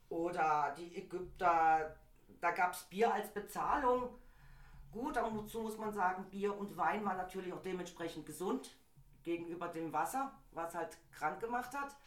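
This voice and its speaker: A middle-aged woman, slightly hoarse from a cold, chatting in an opinionated way as a podcast co-host. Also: lowish voice, reverberant. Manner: chatty, opinionated, laughs easily. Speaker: podcast co-host presenting a topic. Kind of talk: casual opinion chat.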